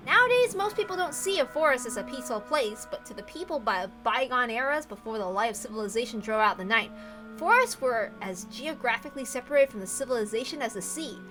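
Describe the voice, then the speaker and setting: High-voiced woman narrating over music. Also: animated, fast, sharp tone. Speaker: reader of a visual novel. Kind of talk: expressive scripted narration. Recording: music playing behind the voice. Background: background music.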